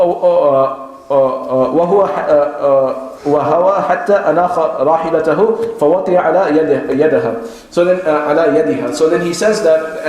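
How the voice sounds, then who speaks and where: A man giving a religious lecture as a teacher, reading Arabic text and explaining it as a story in halting, hesitant English. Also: a little high, a little hiss or hum. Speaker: Islamic teacher giving a lesson. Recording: very echoey, reverberant room.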